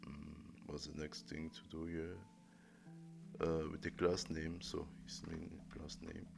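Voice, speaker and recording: A young man live-streaming a coding lesson, thinking aloud in a halting way with many fillers and restarts. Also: extremely low pitch, level tone, creaky. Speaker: coding livestreamer. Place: indoor room at a desk. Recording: music playing under the voice. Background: music playing and keyboard typing.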